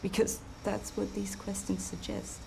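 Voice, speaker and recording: Mellow, composed, defiant woman under questioning. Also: mid-range voice, a little slow, gravelly, a little hiss or hum, low-quality recording. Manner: composed, terse, cutting. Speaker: suspect being questioned. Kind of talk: naturalistic TV drama dialogue.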